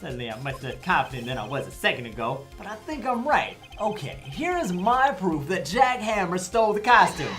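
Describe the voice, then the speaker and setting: A woman acts out a cocky young defense attorney in a comedic courtroom game. The delivery is sarcastic, showy and very lively, with faint music in the background. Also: lowish voice, a little fast, few pauses. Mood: wisecracking. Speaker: voice actor in a fan dub.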